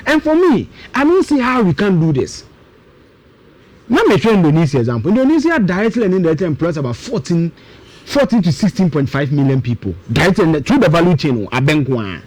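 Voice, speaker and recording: Animated, high-pitched Ghanaian man, emphatic. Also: fairly quick. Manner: emphatic, repeats points, switches between English and Twi. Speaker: guest analyst. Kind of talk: animated explanatory radio discussion.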